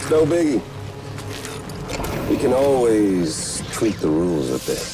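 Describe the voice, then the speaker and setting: A man's voice: fairly low pitch, big pitch swings, takes it slowly, loud music behind the voice, exaggerated voice. A man playing a flamboyant, sadistic game villain who is playful, mocking and theatrical. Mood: mock disappointment. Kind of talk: theatrical video game acting.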